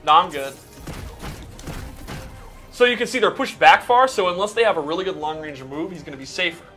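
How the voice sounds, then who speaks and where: A man's voice: fairly high pitch, fairly quick, sharp tone, faint music behind. A man giving casual, explanatory gameplay commentary as a developer showing off a game, peppering his talk with "like". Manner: explanatory, casual, says "like" often. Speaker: game developer presenting a demo.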